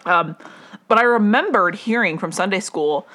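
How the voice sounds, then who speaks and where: A woman's voice: mid-range voice, moderate pace, fairly bright tone. A young woman casually telling a personal story at home, rambling with many fillers and restarts.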